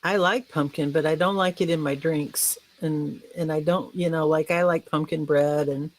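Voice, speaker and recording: A woman's voice: low voice, fairly even intonation, normal speed, poor audio. Folksy joking woman in casual banter. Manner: folksy, joking with colorful sayings.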